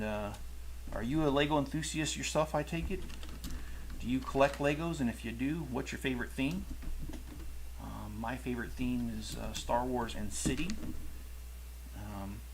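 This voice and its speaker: A man's voice: mid-range voice, background noise. A middle-aged man hosting a toy building stream, chatting casually with viewers, rambling and correcting himself as he goes. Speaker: toy channel host. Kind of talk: casual live-stream chat while building. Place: home, rural.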